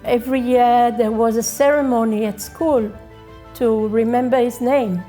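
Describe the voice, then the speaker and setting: A woman's voice: fairly high pitch, fairly even intonation, unhurried, warm tone. A woman sharing family memories in a documentary interview. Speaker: interviewee, relative of fallen soldier. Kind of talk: personal documentary interview recollection. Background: music.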